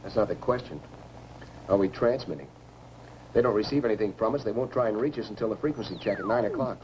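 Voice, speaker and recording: A man's voice: lowish voice, fast, close to an everyday voice. A man acting a practical, frustrated radio operator in a 1950s science fiction film. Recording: noisy old film soundtrack.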